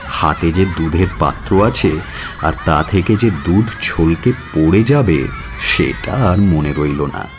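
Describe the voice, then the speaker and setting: A man narrating an audio story in a measured, expressive and dramatic storytelling style. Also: deep voice. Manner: measured, expressive, drawn-out phrasing.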